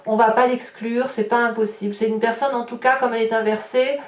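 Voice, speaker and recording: Higher-voiced woman, roomy distant sound. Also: steady tone, brisk. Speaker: tarot reader. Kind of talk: improvised spoken tarot reading addressed to viewers. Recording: roomy echo, distant microphone.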